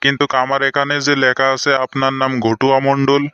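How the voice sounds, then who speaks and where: A man's voice: mid-range voice, monotone, brisk, pushed and bright, clear, resonant tone, no room sound, dull audio, natural voice. A man playing a stern, exasperated job interviewer in a comic cartoon, questioning formally and scolding. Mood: puzzled, impatient.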